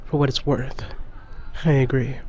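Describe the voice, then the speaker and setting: A man's voice: medium pitch, flat delivery, moderate pace, dark tone, slight background noise, natural voice. A man playing a frank, caring, plain-spoken starship crewmate in a sci-fi audio drama, on a muffled recording. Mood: earnest, supportive. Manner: direct, plain-spoken, persuasive.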